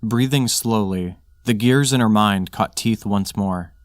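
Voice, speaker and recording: A man's voice: on the low side, measured pace, no room sound, clean studio sound. A man reading scripted material as the narrator and host of a fiction podcast.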